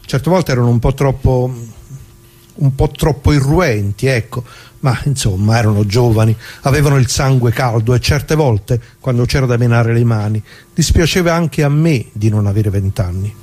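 Guy acting old italian bricklayer reminiscing, bit raspy, humble folksy talk, halting, radio drama monologue. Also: medium pitch, darkish tone, close to an everyday voice. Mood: nostalgic, earnest. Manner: folksy, halting, with rhetorical tags. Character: elderly working-class Italian ex-bricklayer, plain-spoken, reminiscing.

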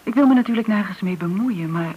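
A woman acting in a radio play as a businessman's confiding friend, tentative and at times soft-spoken. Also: medium pitch, fast talker, natural voice. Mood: uneasy, confiding. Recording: dull, noisy old radio recording.

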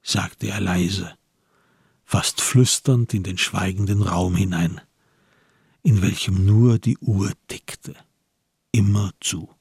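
Slow, husky, gravelly male narrator. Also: low-pitched, steady tone, darkish tone, no room sound, clean studio sound. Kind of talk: scripted literary narration for a radio crime drama.

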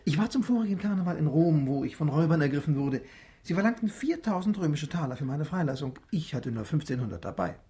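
Young nobleman in a radio play, voice on the high side, polite, eager, well-bred host type. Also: big pitch swings, fast, dark tone. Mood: confident, admiring. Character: genteel young aristocratic host, eager and admiring. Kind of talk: radio drama acting.

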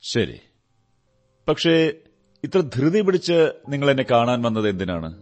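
A man playing a shrewd, self-assured detective in a radio drama, speaking in a deliberate, courteous, analytical way. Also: medium pitch, sing-song, a little mellow. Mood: probing, businesslike. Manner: deliberate, deductive, theatrical delivery. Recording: radio drama with music behind. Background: music.

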